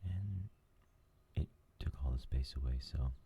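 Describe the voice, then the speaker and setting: A man hosting a new ASMR gaming channel, talking in a soft whisper and rambling with lots of fillers. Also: extremely low pitch, measured pace, vocal fry, muffled recording, a little hiss or hum.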